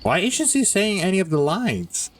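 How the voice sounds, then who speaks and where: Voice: medium pitch, big pitch swings, normal speed, a little hiss or hum. A game streamer making casual, playful commentary, gushing and easily flustered while chatting to the characters. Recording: game music behind the voice.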